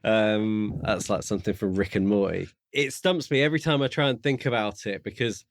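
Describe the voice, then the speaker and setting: Lowish-voiced man musing, dry sound. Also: steady tone, fairly quick, no echo. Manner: tentative, musing, theorising aloud. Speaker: podcast co-host. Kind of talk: casual book discussion.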